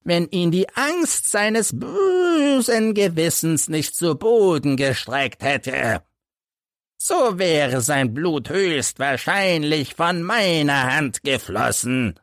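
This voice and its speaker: Casting: male narrator as a crippled old veteran, bitter and emphatic, slow storybook delivery with swinging pitch. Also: sing-song, fairly bright tone, dry recording, clean studio sound, full character voice. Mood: bitter, defiant. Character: embittered hunchbacked old ex-soldier telling his life story.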